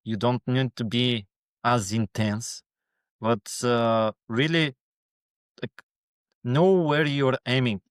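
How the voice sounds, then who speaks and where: A man's voice: medium pitch, fairly even intonation, takes it slowly, long pauses, clean tone, dry recording, studio quality. A man being interviewed as a guest on a casual podcast, giving earnest motivational advice with lots of fillers.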